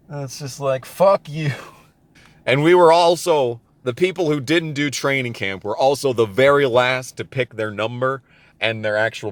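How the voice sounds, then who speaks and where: A man's voice: mid-range voice, no echo. A man in his twenties telling a personal story casually and comedically, with profane, exasperated ranting and emphatic repetition. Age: 20s. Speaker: storyteller on a gaming channel.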